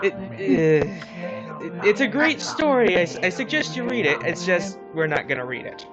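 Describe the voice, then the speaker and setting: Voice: mid-range voice, big pitch swings, normal speed. A let's play co-host chatting casually about a game, often stammering at the start of sentences. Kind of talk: casual gaming commentary. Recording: dull lo-fi mic, music behind. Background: game music.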